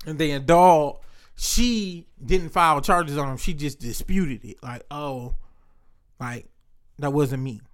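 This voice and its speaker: A man's voice: fairly high pitch, slow. A man hosting a casual podcast, joking and teasing his co-hosts and laughing often. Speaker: podcast host.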